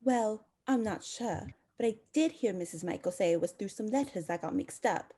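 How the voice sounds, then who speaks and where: Husky, plaintive young bride played by a woman. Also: mid-range voice, expressive, normal speed, dark tone. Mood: bewildered. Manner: plaintive, emotional. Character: Mary, fragile, forlorn young bride. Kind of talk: radio drama acting.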